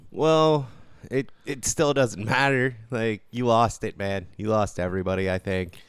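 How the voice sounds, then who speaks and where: A man with a low-pitched voice chats casually as a podcast co-host. He is blunt and opinionated, and the recording is dry with little room sound. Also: fairly even intonation, unhurried, clear, resonant tone, dry recording. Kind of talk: casual gaming chat.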